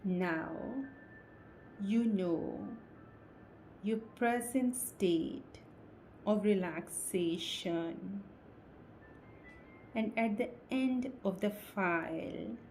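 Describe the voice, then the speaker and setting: A woman guides a relaxation session as a hypnotist. Her voice is mellow and relaxed, delivered extremely slowly in short, soothing phrases with long pauses. A faint layer of ambient noise sits behind her. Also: mid-range voice, poor audio. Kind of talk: slow guided meditation, hypnosis induction.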